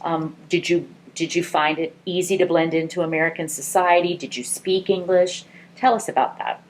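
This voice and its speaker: A woman conducting an oral history interview, asking gentle, encouraging questions. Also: fairly low pitch, steady tone, normal speed.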